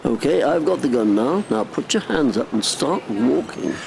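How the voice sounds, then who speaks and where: A male police officer played by an actor in an audio drama, speaking in a gravelly, medium-pitched voice. He is authoritative yet matey, with faint noise in the background. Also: expressive, normal speed. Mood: firm control. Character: male police officer, steady, negotiating, taking control.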